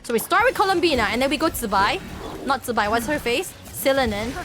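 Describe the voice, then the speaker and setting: A woman chats casually while streaming a game. Her voice is high and swoops widely, with a playful, exclamatory way of repeating herself. Light game music plays behind her. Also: expressive, quick pace, a bit bright. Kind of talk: casual livestream gameplay chat.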